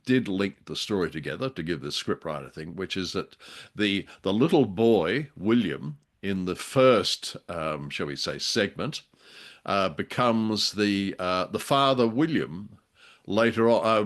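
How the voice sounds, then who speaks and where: An older man co-hosting a casual podcast chat, with a wry, joking and rather hesitant delivery. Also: sits low. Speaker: podcast co-host. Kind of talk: casual chat reviewing an old radio drama.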